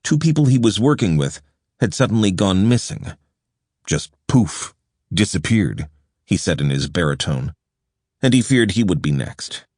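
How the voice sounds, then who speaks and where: Guy with a really low voice reading an audiobook, steady and measured, dry studio sound. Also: dry recording. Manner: measured, even storytelling delivery. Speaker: audiobook narrator. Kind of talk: scripted audiobook narration. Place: recording studio. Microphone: close studio microphone.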